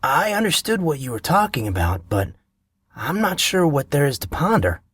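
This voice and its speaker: A man's voice: a little high, very animated, moderate pace, a bit bright, breathy edge, no echo, pitched above the performer's own voice, exaggerated voice. A man doing a dry, sarcastic teenage boy. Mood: exasperated. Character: wry, exasperated teenage boy.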